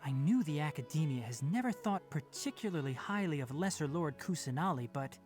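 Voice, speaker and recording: A young male scholar in an anime game, composed and candid. The voice is low for a woman yet moves with lively intonation, explaining in articulate phrases over faint music. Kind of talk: anime video game voice acting.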